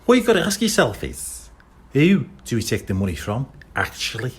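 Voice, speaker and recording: A man's voice: mid-range voice, wildly swooping pitch, normal speed, pitched above the performer's own voice. A man voicing a streetwise male talking cat with a wheedling, persuasive patter in an audiobook. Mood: scheming.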